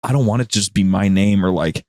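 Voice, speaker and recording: A man's voice: sits low, fast talker, a little mellow, no echo. Casual young man telling stories, close dry sound. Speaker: podcast guest, audio drama creator. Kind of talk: casual interview chat.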